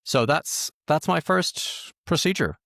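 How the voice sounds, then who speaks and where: A man hosting a casual software tutorial, thinking aloud and correcting himself as he works through the screen in an informal way. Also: a little high, animated, slow, vocal fry, no echo, studio quality.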